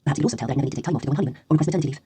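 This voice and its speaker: A woman's voice: deep voice, rapid-fire, mellow, husky, no room sound. A woman narrating first-person fiction from a script as an audiobook reader.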